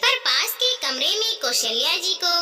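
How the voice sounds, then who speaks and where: A woman's voice: very high voice, big pitch swings, a little slow, noisy background. A woman reading a scripted moral story as its narrator. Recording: long hall-like reverb.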